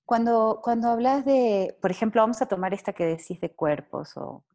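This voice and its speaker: A woman's voice: on the high side, lively intonation, normal speed, warm tone. A woman from Argentina with an Argentine accent, interviewing on a video call in a friendly way, laughing and searching for words. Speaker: interviewer, facilitator.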